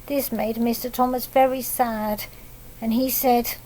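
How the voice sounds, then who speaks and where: A woman reading a picture book aloud to young children, pausing to explain things simply and ask them questions. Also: a little high, level tone, dark tone, a little hiss or hum, low-quality recording. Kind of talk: read-aloud picture book storytelling with asides.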